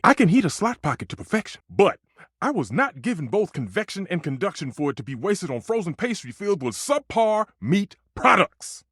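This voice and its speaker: A dramatic stove chef, hugely swooping delivery, higher-set male voice, pompous, temperamental, theatrical game character. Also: slightly rough, no room sound, clean studio sound, exaggerated voice. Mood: exasperated, fussy. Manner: pompous, flowery, exasperated outbursts. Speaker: voice actor in a dating simulator game.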